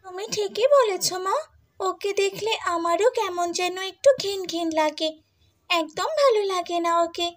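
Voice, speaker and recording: A woman plays a haughty young cartoon stepsister with a high voice. She is spoiled and spiteful, sneering and whining as she talks. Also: measured pace. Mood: smug, disdainful. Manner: whiny, sneering, tattling. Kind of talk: Bengali cartoon dubbing.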